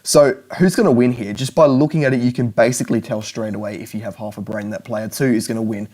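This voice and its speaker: A man's voice: medium pitch, steady tone, fast talker, darkish tone. Earnest young man giving study advice. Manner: earnest, repetitive, restarts often. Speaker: language learning YouTuber. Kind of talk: direct-to-camera advice talk.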